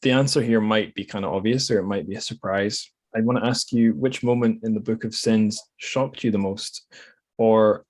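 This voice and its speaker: A man with a slightly low voice hosts a bookish podcast. He talks casually and thoughtfully, feeling his way through ideas and rephrasing mid-sentence. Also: fairly even intonation, moderate pace, darkish tone. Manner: hesitant, reflective, self-correcting. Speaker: podcast host. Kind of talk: casual literary podcast discussion.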